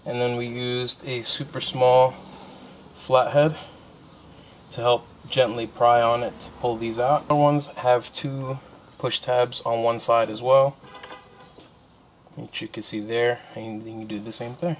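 A man with a medium-pitched voice chats casually as he helps with hands-on work. His wording is slangy and informal. Background noise and ambience are clearly audible behind him. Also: level tone, a little slow. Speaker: helper in a car install vlog. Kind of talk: casual chat while working.

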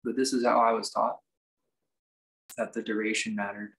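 A man teaching a guided meditation, speaking in measured, halting phrases with reflective pauses. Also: on the low side, flat delivery, gravelly, a bit bright, poor audio. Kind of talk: guided meditation, unscripted instruction.

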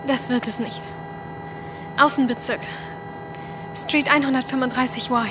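High-voiced intense strange girl, played by a woman. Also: steady tone, measured pace, over music. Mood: insistent. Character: strange intense girl, devoted keeper of wild bumblebees. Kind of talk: audio drama acting.

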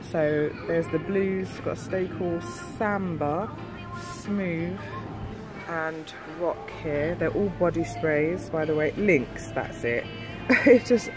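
Nasal woman vlogging in a shop. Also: fairly low pitch. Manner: chatty, filler-heavy. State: nasal from a cold. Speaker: beauty vlogger. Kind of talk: casual shop walkthrough. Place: shop aisle, indoors. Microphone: handheld phone camera.

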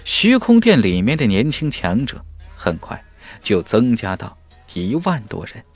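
A man's voice: mid-range voice, huge pitch swings, measured pace, husky, soft background music. A man reading a fantasy audiobook as narrator in a dramatic storytelling style. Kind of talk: dramatic scripted narration of a fantasy web novel.

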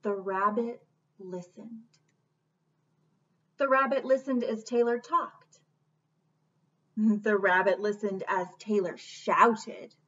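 Casting: woman, 30s, children's storyteller, unhurried and gentle, dull webcam audio. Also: medium pitch. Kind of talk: picture book read-aloud. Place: small room indoors. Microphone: webcam at about arm's length. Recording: dull webcam sound, distant mic.

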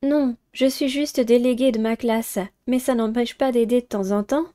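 Woman as helpful teen girl, polite, soft higher voice, dating-game character. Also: fairly even intonation, moderate pace, lightly acted. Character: polite, helpful teenage girl class delegate.

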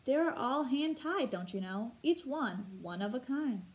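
A woman's voice: sits high, expressive, measured pace, a little mellow. A woman reading a storybook aloud as a kindly, folksy old male shopkeeper with a coaxing way of talking.

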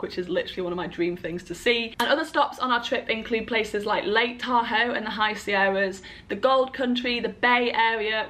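A woman's voice: on the high side, a bit bright. A young woman filming herself on a road trip, talking to camera with bubbly enthusiasm and frequent laughs. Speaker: travel vlogger. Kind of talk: excited travel vlog to camera. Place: outdoors and in a car on a road trip. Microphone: camera held at arm's length.

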